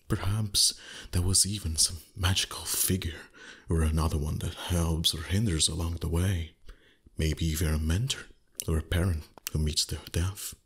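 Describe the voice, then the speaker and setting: A man voicing an ancient, proud dragon, gruff but secretly tender, with haughty, teasing, formal speech in a roleplay ASMR performance. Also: low voice, full character voice. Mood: dry, teasing.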